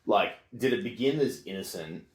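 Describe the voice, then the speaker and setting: A man's voice: lowish voice, lively intonation, moderate pace, husky, no echo. A man hosting an interview show, moving from scripted narration into playful, wry conversation. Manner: articulate, wry, playful asides. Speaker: podcast host and interviewer. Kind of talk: scripted narration then casual interview chat.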